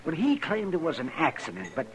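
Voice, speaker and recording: A folksy excitable old american doc, high voice, old radio western, noisy crowd around him. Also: male voice, fairly quick. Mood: worried, urgent. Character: fussy, excitable older male frontier doctor. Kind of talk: 1950s radio western acting.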